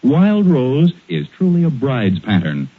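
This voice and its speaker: A man's voice: mid-range voice, wildly swooping pitch, slow pace, slightly rough. An American man announcing on 1940s radio in a polished, ornate and emphatic sales style, on a tinny old recording.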